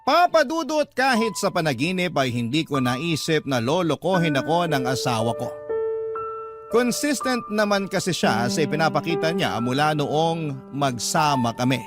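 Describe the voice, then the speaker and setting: Male radio-style narrator, slightly high voice, scripted storytelling, loud music underneath. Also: sing-song, moderate pace. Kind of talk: scripted first-person storytelling, reading a letter.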